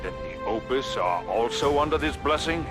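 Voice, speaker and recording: A man's voice: medium pitch, expressive, normal speed, lightly acted. A man voicing an earnest head of state in a video game drama, grave and insistent, easily alarmed. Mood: grave, questioning. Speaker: character in a video game story scene. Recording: loud music behind the voice. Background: loud music.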